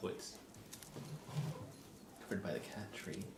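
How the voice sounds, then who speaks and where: A man chatting casually on an ASMR livestream, soft-spoken and halting, often slipping into a whisper. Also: heavy background noise.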